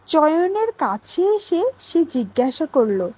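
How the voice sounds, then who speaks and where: A woman storyteller with a high, lively voice that swings in pitch. She takes her time, phrasing each line deliberately like a teacher addressing young pupils. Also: rough recording. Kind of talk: scripted children's story narration and classroom questioning.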